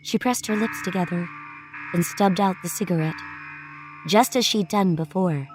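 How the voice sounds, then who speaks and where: A woman's voice: lowish voice, moderate pace, over music, rough recording. A woman giving a dramatic, scripted first-person narration of a story. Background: musical score.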